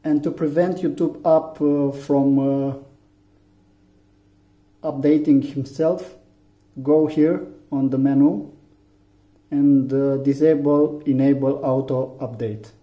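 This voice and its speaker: A man from Romania with a Romanian accent, English not his first language, giving a halting phone tutorial with many fillers. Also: medium pitch, fairly even intonation. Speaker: tech tutorial maker. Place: room indoors, phone on a table. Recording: echoey, dull lo-fi room.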